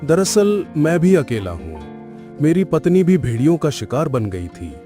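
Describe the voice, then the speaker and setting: A man's voice: a little high, sing-song, unhurried, dark tone, faint music behind. A man playing a kind, courteous father billy goat in a cartoon story, speaking politely and earnestly. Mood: tender, hopeful. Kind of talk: Hindi cartoon story acting.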